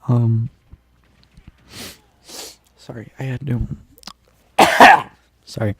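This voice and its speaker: A man's voice: medium pitch, extremely slow, fairly bright tone. Stuffy-nosed man rambling through commentary. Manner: rambling, full of ums and restarts. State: stuffy, congested nose. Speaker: streamer commentating a game tournament. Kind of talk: casual play-by-play commentary.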